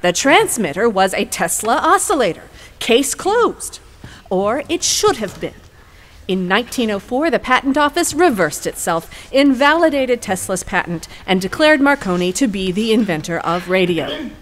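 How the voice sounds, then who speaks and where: A woman's voice: fairly high pitch, big pitch swings, normal speed. A woman playing an assertive lawyer in a courtroom audio drama, arguing formally and rhetorically. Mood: insistent, argumentative.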